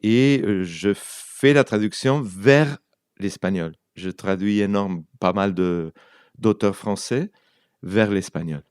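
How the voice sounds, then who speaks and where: A man's voice: on the low side, takes it slowly, darkish tone, clear, resonant tone, dry recording, studio quality. A man from Argentina, a native Spanish speaker with an Argentine accent, chatting in an interview and often searching for words. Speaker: writer and translator, interview guest. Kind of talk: conversational interview, telling anecdotes.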